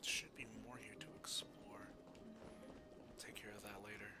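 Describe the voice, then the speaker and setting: A man's voice: fairly low pitch, steady tone, a little slow, whispered, loud music behind the voice. A man streaming a video game, commenting on the play and reading game text aloud.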